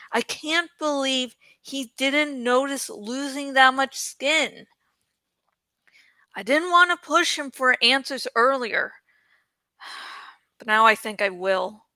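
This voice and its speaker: A woman's voice: high-pitched, slow pace, pauses often, sharp tone, dry recording. A woman reading a fantasy novel aloud as an amateur audiobook narrator, casual and given to jokey, self-teasing asides.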